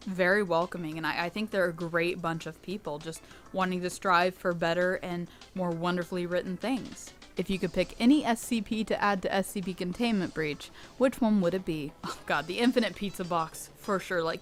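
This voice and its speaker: Low-voiced young woman chatting candidly. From United States. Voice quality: low rich voice, sometimes taken for a boy. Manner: chatty and candid, with laughs and restarts. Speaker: channel host answering fan questions. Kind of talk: casual Q&A monologue.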